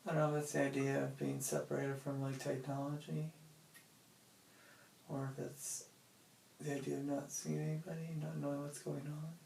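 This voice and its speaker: A young adult man recording a casual, rambling audio diary, musing in a halting, hesitant way. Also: a little high, monotone, vocal fry, darkish tone. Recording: very echoey room, faint noise.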